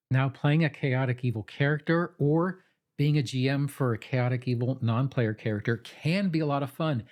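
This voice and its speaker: Man giving measured explainer narration. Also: medium pitch, darkish tone. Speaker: host of a tabletop roleplaying advice channel. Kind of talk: scripted explainer narration with casual asides.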